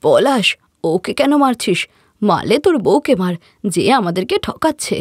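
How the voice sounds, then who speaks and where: A woman performs a doting mother-in-law in a cartoon family story. The delivery is melodramatic and scolding, very lively in pitch. The sound is close and dry. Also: normal speed, breathy edge, dry recording. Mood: outraged. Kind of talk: Bengali cartoon story voice acting.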